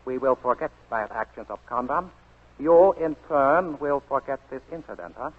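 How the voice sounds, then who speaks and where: An actor playing a scheming, falsely apologetic Japanese police officer with a put-on Japanese accent and halting broken English, in a 1940s radio drama. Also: male voice, mid-range voice, animated, breathy edge. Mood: oily, conciliatory. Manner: halting, clipped broken English with hesitant fillers. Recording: muffled, scratchy 1940s radio broadcast.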